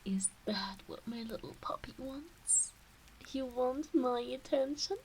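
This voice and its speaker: A woman playing a teasing, doting, motherly kitsune witch in an intimate ASMR roleplay, cooing in a breathy, whispery way. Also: sits high, slow pace, a little mellow, slight background noise, low-quality recording. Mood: teasing, possessive.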